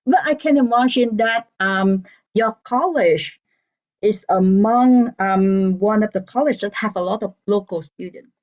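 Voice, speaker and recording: A woman host asks her questions at an unhurried, slow pace and fills the gaps with soft murmurs of agreement. Her voice sits at a middle pitch. The recording sounds dull and lo-fi. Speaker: interviewer host. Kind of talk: casual interview chat.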